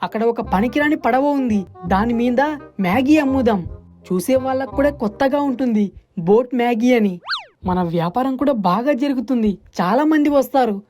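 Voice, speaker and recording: An earnest young village boy played by a woman speaks in a high voice with music behind. He is honest and pleading, a poor fisher's son from an animated moral story. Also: fairly quick, natural voice. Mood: hopeful.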